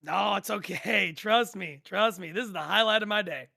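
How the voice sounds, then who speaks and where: A woman's voice: medium pitch, moderate pace, gravelly, pushed and bright, heavily acted. A woman playing a friendly, easily amused drive-thru worker, chatting casually in an audio drama table read.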